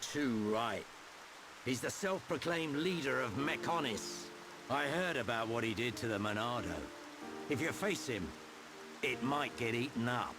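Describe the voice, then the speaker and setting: Roguish older British soldier, blunt and wry, lively delivery over loud game music. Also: male voice, medium pitch, unhurried. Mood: stern. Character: gruff, cocky, roguish older male veteran soldier. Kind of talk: video game cutscene acting.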